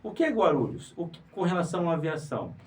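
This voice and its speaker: A man's voice: on the high side, big pitch swings, quick pace. Man explaining in a didactic manner. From Pará, Brazil. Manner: didactic, rhetorical questions, emphatic. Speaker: guest, writer and museologist. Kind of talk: explanatory interview talk. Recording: distant mic with faint noise.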